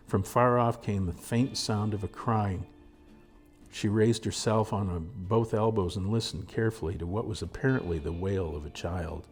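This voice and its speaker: A man reading a story aloud as narrator in a measured, dramatic storytelling manner. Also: on the low side. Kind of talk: scripted audiobook narration.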